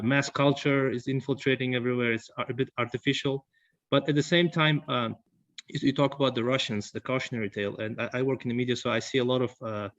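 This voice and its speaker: A young man, giving a long, halting comment at a book discussion, full of fillers and restarts. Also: mid-range voice. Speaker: audience member at a book discussion. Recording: dull lo-fi recording.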